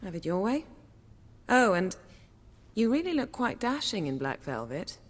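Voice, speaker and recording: A woman playing a poised, commanding sorceress in a video game, speaking in a cool, measured, formal manner with dry affection. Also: mid-range voice, big pitch swings, long reverb tail. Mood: teasing. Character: poised, commanding sorceress, dryly affectionate toward her lover. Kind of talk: dramatic video game acting.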